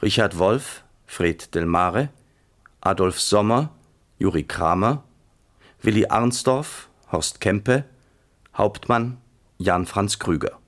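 A male announcer from 1970s radio reads out credits formally. His voice sits fairly low and he moves very slowly, with measured care.

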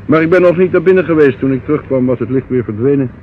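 An actor in a radio play playing a dutiful police sergeant who speaks formally and deferentially. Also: male voice, mid-range voice, quick pace, natural voice. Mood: dutiful, reporting. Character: dutiful male police sergeant reporting to superiors. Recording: tinny, noisy old radio recording.

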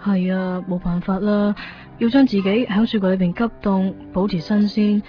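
An innocent young Hong Kong woman, played by an actress talks in a middle-pitched, plainspoken way. She is a drifter in a radio drama, casual and unfazed. The sound is dull, with faint music underneath. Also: fairly even intonation, normal speed, close to an everyday voice. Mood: unfazed, resigned. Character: easygoing, innocent homeless young woman, matter-of-fact. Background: faint background music.

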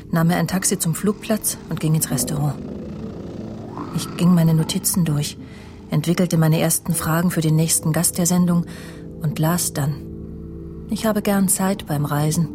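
An actress as a proud career woman telling her story in a radio crime drama. Her voice sits on the low side, cool and clipped, with a biting tongue that covers easily hurt feelings. Music runs behind her. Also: natural voice. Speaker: first-person narrator and lead of a radio drama. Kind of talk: radio crime drama acting with inner monologue.

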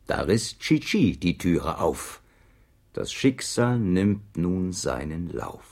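A man's voice: low voice, big pitch swings, takes it slowly, gravelly, darkish tone, full character voice. A man playing a storyteller who recites rhymed verse in a children's radio play. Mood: atmospheric, suspenseful. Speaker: narrator.

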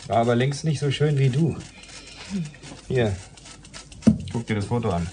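A man's voice: mid-range voice, a little slow, close to an everyday voice. Sleazy, leering male police lieutenant played by an actor. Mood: taunting, callous. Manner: taunting, sleazy. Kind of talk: radio drama dialogue. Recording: clearly noisy ambience behind.

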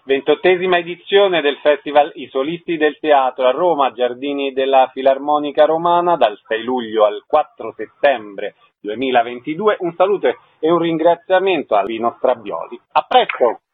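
A man's voice: mid-range voice, moderate pace, hardly any pauses, husky, narrow, tinny audio. A man hosting a radio interview, announcing event details and asking short questions.

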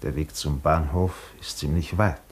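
An actor playing an obsequious, anxious hotel director in a German radio drama, stiffly courteous and deferential. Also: male voice, a bass voice, fairly even intonation, measured pace. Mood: subdued, courteous. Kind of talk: German radio play acting.

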